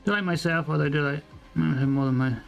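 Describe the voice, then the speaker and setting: A man's voice: on the high side, fast talker, vocal fry, dull audio, faint music behind. A man from Wales with an English accent, chatting casually and swearing freely while playing a factory-building game, often putting himself down. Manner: swears freely, self-deprecating, muttering to himself. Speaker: game streamer. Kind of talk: casual gameplay commentary.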